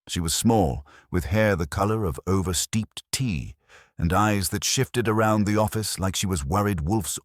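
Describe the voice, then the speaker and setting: A man's voice: deep voice, no echo, clean studio sound. A man narrating a scripted first-person detective story as host.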